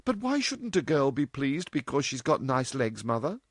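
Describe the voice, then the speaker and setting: Guy doing a cheeky young girl voice, high and sassy, storybook daughter. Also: big pitch swings, moderate pace, slightly rough, no echo, studio quality. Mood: impudent. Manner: playful, cheeky, flippant. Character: impudent mischievous young woman, teasing daughter.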